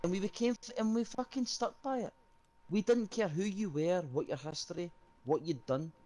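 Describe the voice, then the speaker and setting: A man's voice: high voice, moderate pace, a little mellow. Confrontational Scottish man firing questions. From Scotland. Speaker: game player. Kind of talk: heated in-character argument.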